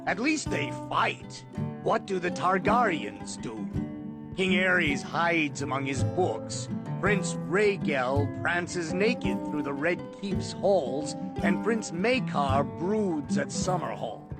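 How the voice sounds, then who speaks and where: A man reading an audiobook, voicing an earnest hedge knight in a deliberate, declamatory way. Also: fairly high pitch, expressive. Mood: declaring. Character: male hedge knight, earnest fantasy storybook character. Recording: loud plucked-string music over clip.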